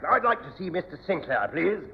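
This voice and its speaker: A formal, impatient naval commander with a high, very animated voice. He is pompous and easily affronted, in 1970s radio comedy style. The recording is old and muffled. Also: a little fast, a little hiss or hum. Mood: indignant. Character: indignant, pompous male naval officer. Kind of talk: 1970s British radio sitcom acting.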